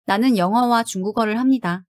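Woman, language lesson translator, highish pitch, very rapid delivery, scripted phrases. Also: no echo, clean studio sound.

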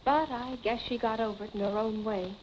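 An American actress playing a poised, firm young wife and older sister in a 1940s film drama. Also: female voice, fairly high pitch, fairly quick, a little mellow, natural voice. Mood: composed. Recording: dull, noisy 1940s film soundtrack.

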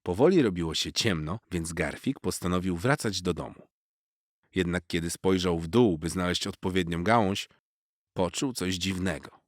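A man's voice: low-pitched, moderate pace, no room sound. A man narrating a children's story expressively from a script, close on the mic.

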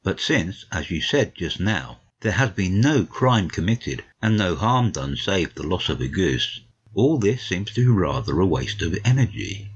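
A man playing an educated gentleman who narrates a detective story in a measured, literary way, on slightly lo-fi audio. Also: sits low, sing-song, breathy edge, heavily acted. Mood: sceptical, amused.

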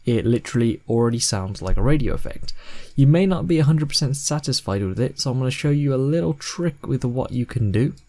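A man in his twenties narrating a software tutorial in plain, step-by-step instructions. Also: mid-range voice, expressive, fairly quick. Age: 20s. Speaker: host of video editing tutorials.

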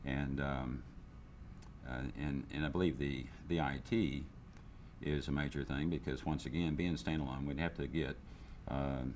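A man's voice: a bass voice, steady tone, normal speed, a little mellow, slight background noise. An older man, a business owner, speaking candidly in a seated interview, with frequent restarts and fillers. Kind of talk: interview testimonial. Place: indoor room, seated interview. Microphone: lapel.

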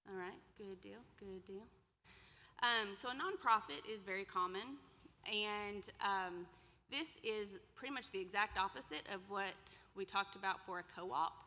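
Woman in her 30s teaching a workshop at a podium, bright clear voice, long echo in a big room. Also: mid-range voice. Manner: conversational, asks the audience questions. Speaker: extension presenter. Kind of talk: workshop lecture with slides. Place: large lecture room indoors. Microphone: podium mic, far camera. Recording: reverberant hall.

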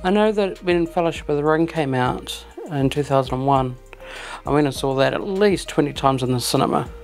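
A man chats casually as host of a fan video, leaning on easy fillers as he talks. His voice sits at a middle pitch. Soft music plays faintly behind him. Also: brisk. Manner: relaxed, filler-heavy talk. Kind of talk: casual chat to camera.

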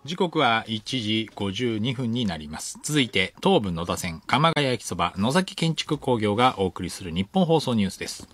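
A male radio host makes live announcements in a husky, fairly low voice. His intonation moves around a lot as he reads the time and sponsor lines. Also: sing-song, moderate pace, hardly any pauses, fairly bright tone. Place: radio studio.

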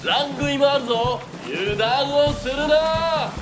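A noble warrior in a period radio drama. His formal declamation is slow and very high, echoing over music. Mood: formal, warning. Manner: declamatory, formal old-fashioned speech. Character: noble, courteous samurai warrior. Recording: echoey, music behind.

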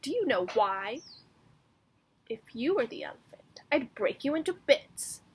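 A woman's voice: on the high side, sing-song, unhurried, a little hiss or hum. A woman voicing a boastful, threatening little male mouse in a children's storybook, with bragging, emphatic delivery. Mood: cocky, challenging. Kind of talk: children's storybook read-aloud.

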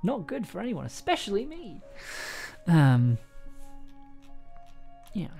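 A man's voice: high-pitched, wildly swooping pitch, slow pace, creaky, dark tone. Young man rambling over background music. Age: young adult. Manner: hesitant, rambling, thinking aloud. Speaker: audio drama producer and streamer. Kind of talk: casual livestream chat. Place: small indoor room at home. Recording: music playing underneath.

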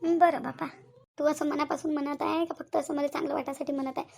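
Woman playing a fast, high-pitched fretful Varhadi mother. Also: flat delivery, vocal fry, darkish tone. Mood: anxious pleading. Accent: Varhadi Marathi from Vidarbha. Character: fretful, wheedling middle-aged mother of a grown son. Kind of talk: cartoon family drama dialogue.

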